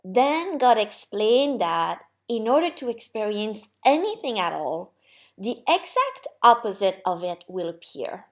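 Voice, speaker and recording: Slow, very expressive woman storyteller. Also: medium pitch. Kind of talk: expressive scripted storybook narration.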